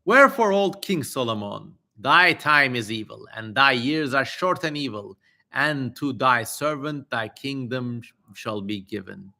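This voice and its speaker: A man's voice: mid-range voice, animated, a little slow, gravelly, sharp tone, full character voice. Man acting an ominous, grandiose prophesying demon. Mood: ominous, proclaiming. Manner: declamatory, menacing, grandiose. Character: ominous male demon prophesying doom to a king. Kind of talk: dramatic reading of ancient scripture.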